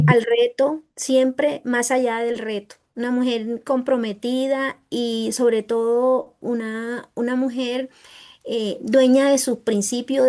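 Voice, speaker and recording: A woman writer with a Colombian Caribbean coast accent, answering interview questions haltingly as she searches for words. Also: fairly high pitch, mellow. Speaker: guest writer.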